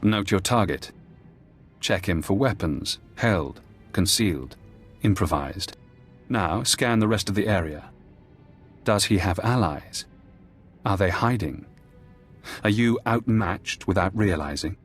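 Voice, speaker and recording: A man playing a condescending, coolly menacing former spy in a video game, speaking in a measured, instructive way. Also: low-pitched, animated, faint music behind. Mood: condescending, calm. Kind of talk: video game cutscene acting.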